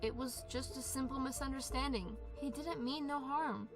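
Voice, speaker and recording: A woman voicing a reassuring, conciliatory pony in an animated fan drama. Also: sits high, moderate pace, over music.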